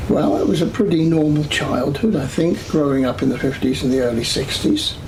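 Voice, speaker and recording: A man in his sixties with an English accent, recounting his life in a measured oral history interview full of "uh" pauses. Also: a little high, expressive, background noise. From Scotland, raised in England. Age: 60s. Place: his home.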